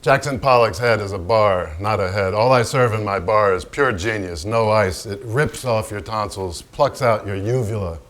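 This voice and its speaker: Man playing a low-voiced, boozy, swaggering painter. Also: moderate pace, few pauses, fairly bright tone, close to an everyday voice. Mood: brooding, boastful. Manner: declamatory, crude, sardonic. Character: boozy, swaggering, profane male genius painter. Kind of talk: theatrical staged reading, monologue.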